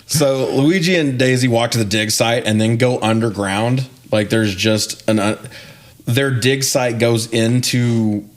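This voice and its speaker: A man's voice: mid-range voice, measured pace. A young man co-hosting a podcast, casually recapping a film with wry, exasperated, nitpicking commentary and frequent swearing. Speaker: podcast co-host.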